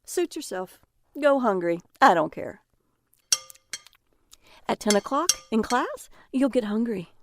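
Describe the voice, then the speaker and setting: A woman's voice: a little high, very animated, takes it slowly, long pauses, exaggerated voice. An actress playing a stressed mother and trucker's wife in an audio drama, by turns snappish and chatty. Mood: exasperated, scolding. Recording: faint background noise.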